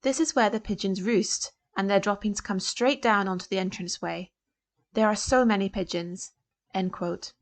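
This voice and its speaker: A woman reading local newspaper articles aloud as a volunteer, in a deliberate, formal way. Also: medium pitch. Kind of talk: scripted news reading for a talking newspaper.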